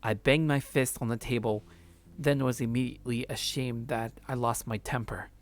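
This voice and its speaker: A man's voice: medium pitch, fairly even intonation, normal speed. A man streaming a let's play, reading a visual novel aloud and reacting casually.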